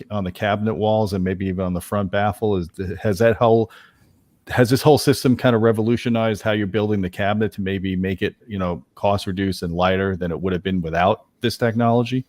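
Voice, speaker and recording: A man reviewer asking curious, halting technical questions in a panel chat. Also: on the low side, darkish tone, dry recording, clean studio sound.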